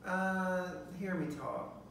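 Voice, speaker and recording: A middle-aged man with a Southern US accent, chatting casually as a co-host, with words that run together and many pauses and restarts. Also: high-pitched, creaky, a bit bright, far from the mic, a little hiss or hum. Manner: mush-mouthed, pauses and restarts while thinking. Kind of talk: casual chat. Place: living room, seated on a leather sofa. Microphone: phone camera from across the room. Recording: very echoey room, voice off-mic.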